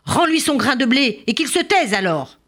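Brief: bossy cruel king in a children's story, snapped commands, lively pitch, read by a woman. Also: normal speed, full character voice. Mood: commanding. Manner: barking orders. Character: fat greedy cruel old king, bossy.

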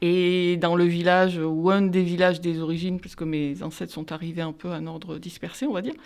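A woman's voice: fairly low pitch, little pitch movement, moderate pace, a little mellow. Reflective woman novelist with lilt. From France, of Italian descent. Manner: thoughtful, reflective, many restarts. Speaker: novelist guest. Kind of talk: conversational interview.

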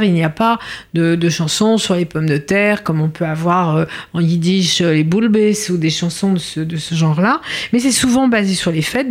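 A woman hosting an informal radio talk, hesitant and often searching for her words. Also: fairly low pitch. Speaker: radio host.

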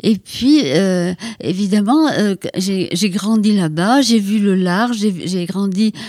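An older woman from France speaks as an author in a radio interview, reflective and halting, leaning on little tics as she gathers her thoughts. The recording is dry and close. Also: medium pitch, a little mellow, dry recording. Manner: reflective, halting, frequent "voilà" and "hein".

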